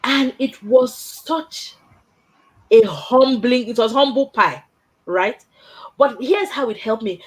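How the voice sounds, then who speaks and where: A woman hosting a casual career chat, telling stories in an animated way, laughing often and tagging her points with "right?". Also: a little high, takes it slowly, breathy edge. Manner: emphatic, tags points with "right?". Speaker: host.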